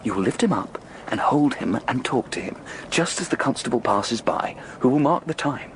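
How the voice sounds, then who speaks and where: A man acts out a gentleman plotting the perfect murder in a radio play. He is theatrical and intense, coaxing his accomplice with exultant, very animated swoops. Some ambient noise sits behind the voice. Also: mid-range voice, normal speed, close to an everyday voice, rough recording. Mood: urgent, gleeful. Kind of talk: radio drama acting.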